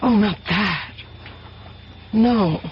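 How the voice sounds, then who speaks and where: An anxious romantic heroine in a radio drama. Her medium-pitched voice is hesitant, and the recording is dull with a clear layer of noise. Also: drawn-out words. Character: anxious, romantic woman with a secret worry.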